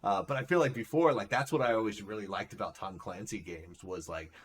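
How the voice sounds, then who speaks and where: A man hosts a live stream in a relaxed way. He fills his sentences with "like" and "right?". His voice sits a little low. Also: fairly quick. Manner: relaxed, says "like" and "right?" often. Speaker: streamer host. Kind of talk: casual live-stream chat.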